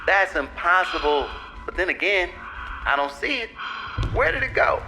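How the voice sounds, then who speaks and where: An actor playing an excitable, playful young-spirited male astronaut in an audio drama, with an eager manner. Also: medium pitch, normal speed, noisy background. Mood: excited. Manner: eager, exclamatory. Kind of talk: animated audio drama acting.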